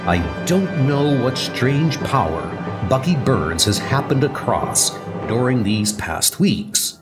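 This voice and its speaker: A man's voice: medium pitch, big pitch swings, slow pace. A man playing a steadfast, wry, confident superhero in a comic book audio drama. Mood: determined. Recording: music under the voice.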